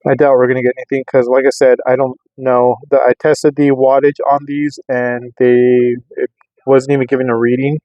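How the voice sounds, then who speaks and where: A man's voice: mid-range voice, no echo. Man rambling casually, dry and slightly dull sound. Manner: repetitive, insistent, circling back to restate points. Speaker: radio enthusiast vlogger. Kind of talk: casual rambling product test talk to camera. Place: in a vehicle on a road test.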